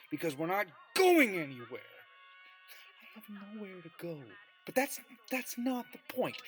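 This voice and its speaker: A man's voice: high-pitched, poor audio. An actor playing a jittery, exasperated male patient in a radio drama, rambling and wounded. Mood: exasperated, frantic. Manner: rambling, agitated.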